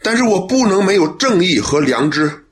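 A man's voice: high-pitched, very animated, moderate pace, slight background noise. A man acting a sincere, upright young policeman in a radio drama, speaking earnestly. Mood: resolute.

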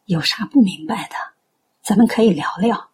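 An actress playing a gentle, middle-aged believer in a radio drama, earnestly trying to persuade. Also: female voice, medium pitch, moderate pace, husky, natural voice. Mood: earnest persuasion.

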